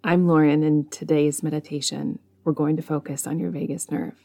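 A woman leading a guided meditation in a soothing, measured way, leaving long pauses between phrases. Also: low-pitched, fairly even intonation, gravelly, mellow. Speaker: meditation guide, podcast host.

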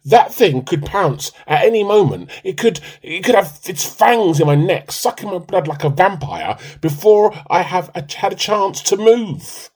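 A man's voice: sits high, unhurried, hardly any pauses, breathy edge, heavily acted. A man, playing a timid, comically earnest, melodramatic husband in a radio play. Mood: panicked. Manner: pompous, excitable. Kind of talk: amateur radio play dialogue.

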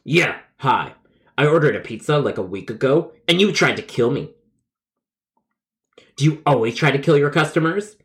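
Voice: medium pitch, lively intonation, moderate pace, pauses often, lightly acted. A man voicing an angry, indignant pizza customer complaining emphatically in a comedic story. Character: angry profane male pizza customer, belligerent liar.

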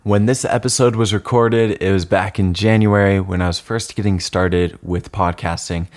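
A man's voice: deep voice, steady tone, normal speed. A man hosting a casual podcast interview, upbeat and enthusiastic, sharing one microphone with his guest. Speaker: podcast host. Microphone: single shared microphone.

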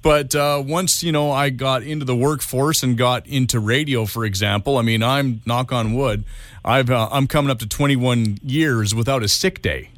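Relaxed man on the radio. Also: mid-range voice, normal speed, dry recording. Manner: relaxed, hesitant, many fillers and restarts. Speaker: radio co-host. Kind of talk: casual on-air chat.

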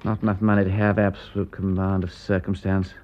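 An actor playing a breezy, wry, upper-class English gentleman detective with a drawling, flippant manner in a classic radio drama. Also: sits low, level tone, fairly quick, lo-fi sound, natural voice. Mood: musing, intrigued. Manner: drawling, flippant, old-fashioned gentlemanly slang.

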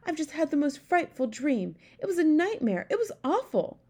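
A woman's voice: high-pitched, very animated, normal speed, warm tone, voice pushed up. A woman voicing a regal, commanding queen in a storybook, exclamatory and aristocratic in her phrasing. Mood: distressed, vivid. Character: well-known queen, regal, commanding, easily horrified older woman. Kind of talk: storybook character voice.

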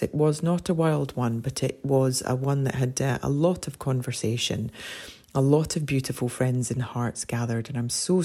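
A middle-aged Scottish woman hosting a podcast, talking in an earnest, rambling way with many fillers, her voice deepened and husky after a late night. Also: darkish tone. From Scotland. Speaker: podcast host and somatic coach. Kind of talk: reflective solo podcast talk.

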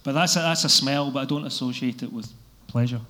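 Scottish man, 50s, live panel guest, rambling casual storyteller, middle pitch. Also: a little fast, sharp tone. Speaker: panel guest, record label boss. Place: live event venue.

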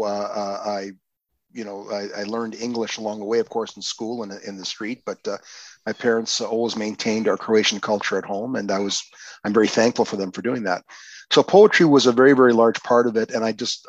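An older man from Canada, a guest poet, answering in a halting, casual way with many fillers and restarts. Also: mid-range voice, no echo, dull audio. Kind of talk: casual podcast interview.

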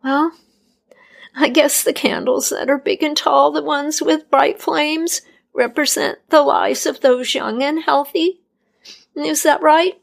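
A woman's voice: fairly even intonation, takes it slowly, vocal fry, full character voice. A woman doing a pleading young healer. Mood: unsure. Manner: pleading, rising high.

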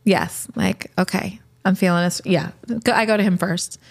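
A woman in her late forties, a podcast host, answering rapid-fire questions in a candid, reflective way and laughing easily. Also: mid-range voice, fairly even intonation, creaky. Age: 40s.

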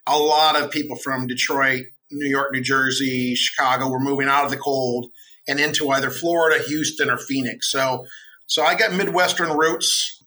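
Bright-voiced middle-aged American man. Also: mid-range voice, steady tone, normal speed. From United States. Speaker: podcast guest. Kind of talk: casual interview.

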